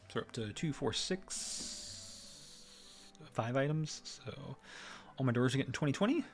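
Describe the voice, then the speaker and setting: A man's voice: mid-range voice, lively intonation, measured pace. A man streaming a video game, casually thinking aloud and muttering to himself between bursts of exclamation. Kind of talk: casual live gameplay commentary, thinking aloud.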